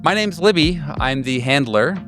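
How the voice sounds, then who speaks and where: A man's voice: a little high, animated, normal speed, a bit bright, soft background music. A man hosting and narrating a horror tabletop roleplaying game as game master.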